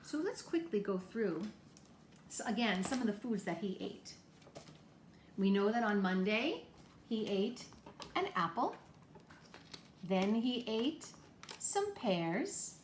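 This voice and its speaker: A woman's voice: mid-range voice, sing-song, slow, pauses often. A woman teacher talking to young children in a homemade lesson video, encouraging them with simple questions and explanations. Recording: echoey room, faint background noise.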